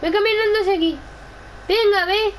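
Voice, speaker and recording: Young woman from gaming, high excitable voice, bit of background noise. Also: a little fast. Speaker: gamer. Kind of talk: casual gameplay role-play chat.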